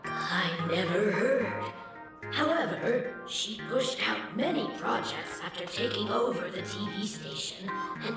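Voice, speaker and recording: A woman voicing a chatty female informant in a game, telling what she has heard in a matter-of-fact way. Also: fairly high pitch. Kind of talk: game voice acting. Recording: roomy game audio with loud music.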